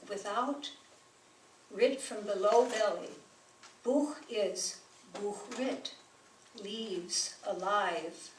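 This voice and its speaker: A woman poet whose first language is German reads her work at a very slow, deliberate pace, pausing between phrases. Her voice sits in the middle of the range. It is picked up from across a roomy space, with faint noise underneath. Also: on the high side, distant mic. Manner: slow, measured delivery with pauses. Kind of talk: poetry reading at a live event. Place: bookstore reading room with an audience.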